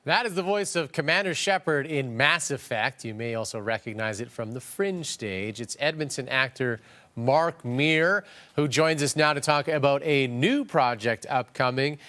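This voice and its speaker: A man's voice: mid-range voice, animated, moderate pace, a bit bright. Polished male news interviewer. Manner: polished presenter delivery. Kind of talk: broadcast interview.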